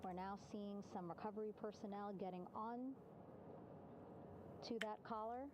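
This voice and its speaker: A woman narrates a live event in a steady, informative commentator's voice at a middle pitch. It comes through as a played-back broadcast with clear noise underneath. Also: moderate pace. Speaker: news commentator.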